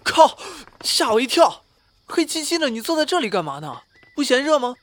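A woman playing an excitable, nosy young male college roommate in an audio drama, with a blunt manner. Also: high voice, normal speed. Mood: startled. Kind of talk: Chinese online audio drama acting. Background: faint music.

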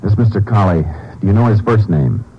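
An actor playing a calm, courteous, seasoned Ranger investigator, measured and matter-of-fact, in a radio crime drama. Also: male voice, lowish voice, husky. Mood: calm questioning. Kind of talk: old-time radio crime drama acting. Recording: muffled, noisy vintage radio recording.

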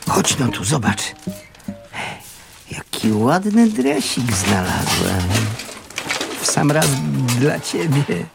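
A male actor playing a kindly, poor scavenger who lives in a shack, halting and homely, in a radio drama. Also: medium pitch, big pitch swings, creaky, over loud music, heavily acted. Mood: cheerful, curious. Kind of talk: Polish radio drama acting.

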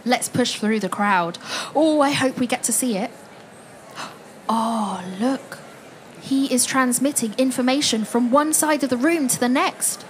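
An enthusiastic female storyteller played by a woman in a participatory audio play for families. She speaks in a fairly high voice, inviting and full of wonder. Light noise and ambience sit behind her. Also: a little slow, lightly acted. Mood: excited wonder. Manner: wondering, inviting, addresses listeners directly. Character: enthusiastic woman storyteller leading an imaginary adventure.

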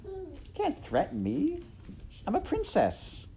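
Guy doing a bossy young princess, storybook reading, pitched up high, snooty and easily annoyed. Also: wildly swooping pitch, fairly quick, vocal fry, mellow, slight background noise, heavily acted. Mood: haughty annoyance. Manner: indignant, haughty.

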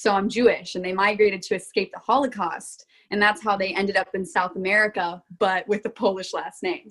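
A bubbly young woman from Florida chats as a podcast guest. She talks freely and laughs often, with a lively, easygoing way of speaking. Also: mid-range voice. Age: young adult. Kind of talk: casual podcast interview.

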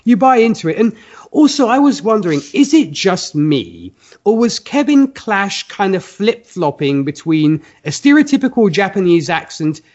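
A man's voice: high-pitched, very animated, normal speed, darkish tone, highs cut off. A man hosting a casual film discussion podcast, talking in a rambling, hedging way and often restarting his sentences. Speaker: podcast host.

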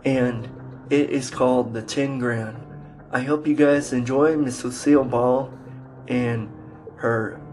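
A man hosting a podcast and introducing episodes, speaking haltingly in short broken phrases. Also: medium pitch. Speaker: podcast host.

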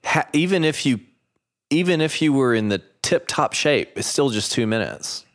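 A man hosting a casual podcast chat, a coach with a loud voice who jumps in with eager interjections. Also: medium pitch, animated, moderate pace.

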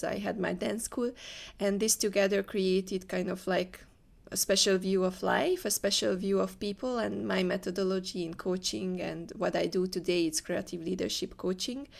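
A woman's voice: medium pitch, vocal fry. A woman from Hungary with a Hungarian accent, answering conversationally as an interview guest. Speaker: interview guest, leadership coach.

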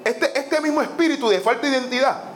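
A man's voice: very high-pitched, fast talker, fairly bright tone, a little hiss or hum. A man preaching a sermon, emphatic, repeating phrases and dropping in the English word "so". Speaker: pastor. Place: church sanctuary.

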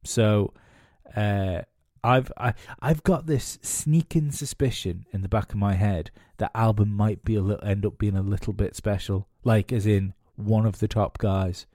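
Sniffly low-voiced man with a cold. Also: steady tone, a little slow, mellow, no room sound, studio quality. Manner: rambling, many fillers and restarts. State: has a cold, nasal and sniffly. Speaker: podcast host. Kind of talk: casual solo commentary.

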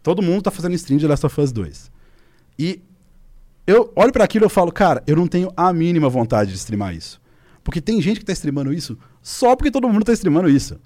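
A man's voice: fairly high pitch, fast talker. A man in his thirties from Minas Gerais, speaking as a guest on a podcast, telling stories with lots of filler words. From Minas Gerais, Brazil. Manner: animated storytelling, frequent filler words. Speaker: podcast guest, esports coach. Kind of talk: casual podcast chat. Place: podcast studio.

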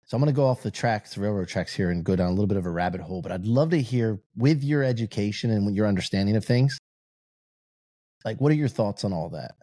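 A man's voice: fairly low pitch, lively intonation, fast, mellow, no echo, studio quality. A man hosting a podcast, asking long, rambling questions in a jokey, casual way. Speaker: podcast host. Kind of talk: casual interview chat.

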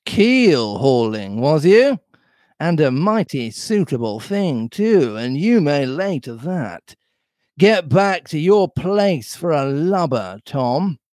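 A man voicing a wily, blustering pirate sea-cook from a storybook, with drawn-out, emphatic delivery. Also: fairly high pitch, big pitch swings, darkish tone, dry recording, studio quality. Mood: scolding, bullying. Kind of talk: storybook read-aloud character voice.

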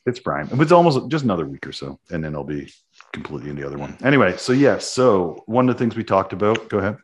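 Man, very low voice, relaxed casual talk, lots of fillers. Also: fast, a little hiss or hum. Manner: easygoing, says many fillers like "like" and "mm". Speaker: podcast co-host. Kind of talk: casual chat.

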